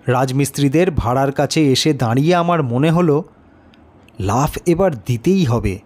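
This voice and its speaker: A man narrating a horror story in the first person, with an expressive, deliberate and suspenseful delivery. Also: mid-range voice.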